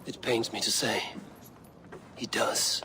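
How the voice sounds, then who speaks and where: An actor playing a blunt, defiant Viking warrior in a video game, terse and scornful. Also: male voice, fairly low pitch, fairly even intonation, slow, background noise. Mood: grim scorn. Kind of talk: dramatic video game acting.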